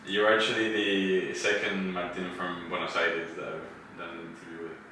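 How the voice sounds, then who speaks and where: A man's voice: sits low, little pitch movement, normal speed, bright, forceful tone. A man hosting a podcast, talking casually as he interviews a guest. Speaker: podcast host. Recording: roomy echo, distant mic, faint noise.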